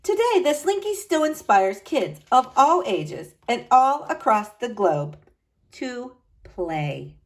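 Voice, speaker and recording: Lively, expressive woman reading aloud. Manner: expressive, slow dramatic emphasis with wide pitch swings. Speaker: storyteller reading to children. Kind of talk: picture book read-aloud.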